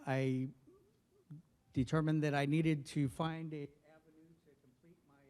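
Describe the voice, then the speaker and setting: A man in his forties from northwestern New Mexico, a health official speaking softly at a formal event, with many pauses and fillers. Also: a little high, little pitch movement, smooth. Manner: soft-spoken, many hesitations and fillers. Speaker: federal health official giving a speech. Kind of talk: personal remarks at a formal event. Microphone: podium microphone. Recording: long reverb of a large hall.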